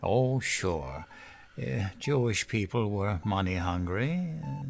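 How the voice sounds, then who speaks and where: An actor in an audio drama playing a nostalgic old grandfather, gently and wistfully telling his memories to his granddaughter. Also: on the low side, very animated, slow, vocal fry, full character voice. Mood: patient, explaining.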